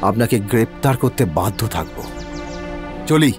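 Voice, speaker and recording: A man playing a stern police inspector in an audio drama, forceful, accusing and authoritative. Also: medium pitch, lively intonation, moderate pace, over music, lightly acted. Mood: stern, threatening.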